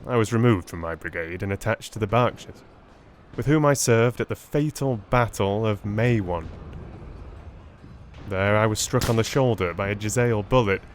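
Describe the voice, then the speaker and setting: A man playing a weary Victorian army surgeon recounting his memoirs in a measured, formal, theatrical audiobook reading. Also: fairly low pitch, slight background noise, lightly acted. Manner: measured, formal, literary delivery. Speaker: audiobook narrator.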